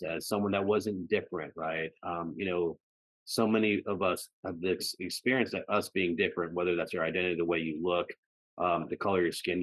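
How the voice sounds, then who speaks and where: Male podcast guest, American, very low voice, dry recording, reflective conversational delivery peppered with check-in tags. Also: no echo, poor audio. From United States. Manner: frequently ends phrases seeking agreement with "right" and "you know".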